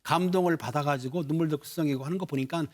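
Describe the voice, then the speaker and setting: A man's voice: fairly high pitch, quick pace. A man giving explanatory news commentary as a studio panelist.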